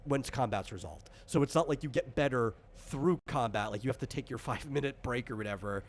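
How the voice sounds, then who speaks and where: A man's voice: medium pitch, brisk, a little hiss or hum. A man in the role of game master, casually explaining tabletop game rules in a rambling, conversational way with lots of asides.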